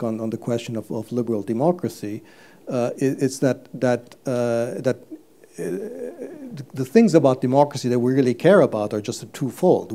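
Older man from Turkey, mellow voice, halting stage-guest delivery with fillers and restarts, lapel mic. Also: mid-range voice. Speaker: guest economist. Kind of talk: live stage interview. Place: stage before a live audience.

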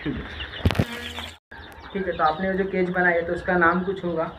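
A man's voice: high-pitched, flat delivery, moderate pace, lo-fi sound. Young man vlogging on a clucking poultry farm. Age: young adult. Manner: chatty, fills with "matlab" often, repeats answers back. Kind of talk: casual walkthrough interview. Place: outdoor poultry farm sheds. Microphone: handheld phone camera. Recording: phone audio with clear farm noise. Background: chickens clucking.

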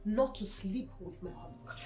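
A woman's voice: mid-range voice, normal speed, a little mellow, echoey room, soft background music, pitched below the performer's own voice, natural voice. A woman voicing a stern, angry woman employer who accuses her maid coldly. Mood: cold anger. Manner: cold, accusing.

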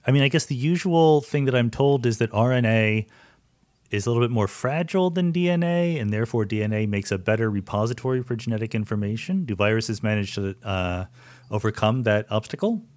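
A man's voice: medium pitch, fairly quick, darkish tone, no room sound. A middle-aged man hosting a podcast, asking curious, casual questions with fillers. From United States. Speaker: podcast host. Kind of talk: conversational science interview.

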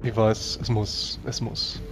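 A man's voice: lowish voice, little pitch movement, slow. A man chatting casually as a player in a tabletop roleplaying game, working through rules and dice. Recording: music playing behind the voice.

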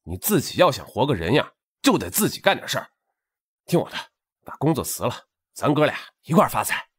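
A man's voice: medium pitch, big pitch swings, brisk, husky. A man playing a tipsy, persuasive street hustler in an audiobook drama, earnest, coaxing and colloquial.

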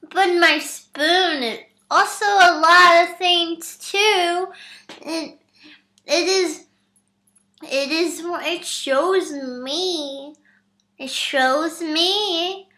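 Casting: silly little girl, extremely high voice, very slow, halting sing-song delivery, whimsical comedy. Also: bright, forceful tone, full character voice. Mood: dreamy, delighted. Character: childlike, dreamy, silly little girl character. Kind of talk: whimsical comedy character monologue. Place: bedroom. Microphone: webcam at arm's length.

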